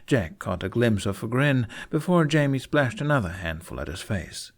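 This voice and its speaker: A man's voice: mid-range voice, very animated, moderate pace. A man narrating a scripted fiction story expressively, as an audiobook reader. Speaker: narrator of a fan fiction podfic.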